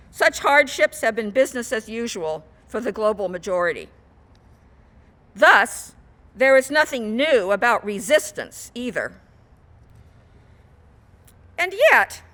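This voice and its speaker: High-pitched woman preaching, slow and bright. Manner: deliberate, emphatic, measured phrasing. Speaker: guest minister preaching. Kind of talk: sermon.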